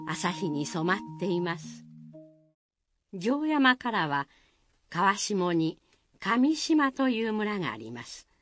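A woman's voice: mid-range voice, no echo. A woman narrating a Japanese village folktale in a scripted radio drama style.

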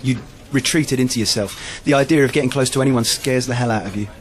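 A man's voice: medium pitch, quick pace, noisy background, natural voice. An actor playing a world-weary, blunt man from another dimension in a sci-fi audio drama, terse and wry. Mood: earnest.

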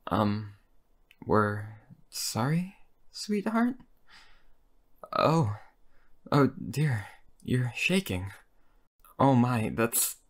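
A man performs a gentle, needy horseman of famine for an ASMR roleplay. He speaks very slowly and softly, hesitating and pleading like a timid sweetheart. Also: medium pitch, very animated, heavily acted. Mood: apologetic, worried. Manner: soft, hesitant, pleading with stammers and repeats. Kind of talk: boyfriend ASMR comedy roleplay.

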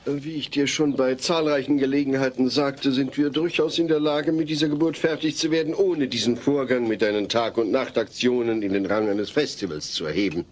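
A man's voice: medium pitch, a little fast, few pauses, natural voice. A man playing a patient, long-winded suburban father in a German audio drama, with a formal, wordy manner. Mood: lecturing. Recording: faint background noise.

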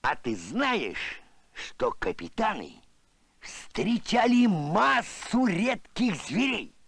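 Huge sentimental cartoon alien, gravelly, forceful, theatrical, unhurried. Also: male voice, high-pitched, big pitch swings, no echo, exaggerated voice. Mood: excitable. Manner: theatrical, exclamatory, swinging to self-pity. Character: big emotional multi-armed alien archaeologist, loud and sentimental. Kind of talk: Soviet animated film voice acting.

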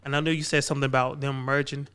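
Man in his 30s, smooth clear voice, podcast host, chatty prompts, studio mic. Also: medium pitch, flat delivery, racing, fairly bright tone. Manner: conversational, prompting, repeats "man". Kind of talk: casual podcast hosting. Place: barbershop event space, indoors. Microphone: podcast mic, headphones on.

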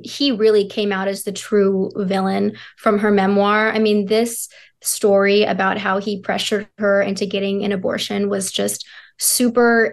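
Young American woman with flat intonation. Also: mid-range voice. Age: 20s. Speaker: guest commentator. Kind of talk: conversational opinion commentary.

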